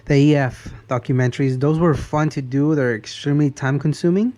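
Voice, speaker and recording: A man's voice: on the high side, fairly quick, warm tone. A man in his early thirties talking in a casual, rambling vlog style, often repeating and restarting his phrases. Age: early 30s. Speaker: car vlogger.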